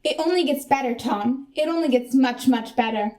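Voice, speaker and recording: Polished young American saleswoman, acted by a woman: high voice, emphatic presentational delivery, radio comedy. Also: normal speed, darkish tone, natural voice. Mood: earnest, persuasive. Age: young adult. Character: earnest, polished corporate saleswoman Shelley pitching a product. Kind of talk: comic radio play, mock keynote presentation.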